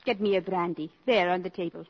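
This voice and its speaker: An actress playing a proud, worldly, impoverished countess in a 1950s radio drama, with a haughty, dry, imperious manner. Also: female voice, lowish voice, steady tone, brisk, husky. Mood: proud, cool. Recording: muffled vintage 1950s radio recording.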